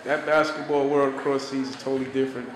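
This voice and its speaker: 男主持人，声音在房间里回荡，离麦克风较远. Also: male voice, medium pitch, level tone, fairly quick, recorded from a distance. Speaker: interviewer, show host. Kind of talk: casual documentary-style basketball interview. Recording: echoey room from afar, background noise.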